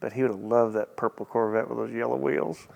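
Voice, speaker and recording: A man's voice: mid-range voice, fairly even intonation, fairly quick, vocal fry, a little mellow, low-quality recording. A middle-aged man hosting a casual car vlog, ad-libbing in a blunt, joking, salty way. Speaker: vlog host and car dealer. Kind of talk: casual, ad-libbed walkaround vlog.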